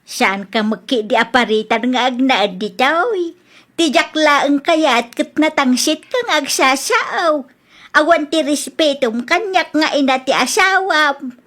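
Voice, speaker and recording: A woman with an Ilocano accent playing a proud, sharp-tongued older mother-in-law in a radio drama, talking in an indignant, scolding way. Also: high-pitched, expressive, takes it slowly, heavily acted. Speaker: mother-in-law in a family quarrel.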